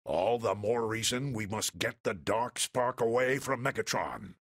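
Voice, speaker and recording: Deep, gravelly, noble robot commander. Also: male voice, expressive, noisy background. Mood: grave, commanding. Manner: solemn, commanding, measured wording. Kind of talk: video game voice acting.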